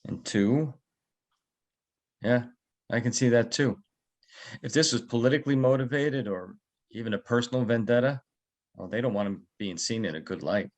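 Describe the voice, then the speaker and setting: A man playing a calm, probing security guard turned investigator in a naturalistic script read, low-key and terse. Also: normal speed, long pauses, lightly acted. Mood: thoughtful.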